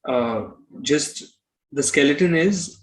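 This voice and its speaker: A man's voice: fairly high pitch, expressive, slow pace, rough recording. A man speaking as an interview guest, blunt and opinionated, often repeating himself. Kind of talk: conversational interview.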